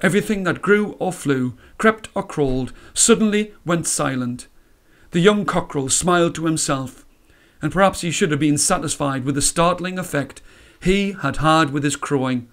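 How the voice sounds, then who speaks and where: A man reading a story aloud as a storyteller, with measured, dramatic phrasing. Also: on the high side, fairly bright tone. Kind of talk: expressive scripted storytelling.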